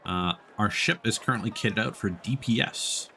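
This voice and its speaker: A man's voice: fairly low pitch, moderate pace, gravelly, bright, forceful tone, slight background noise. A man chatting casually as a live streamer during gameplay, joking along as he goes.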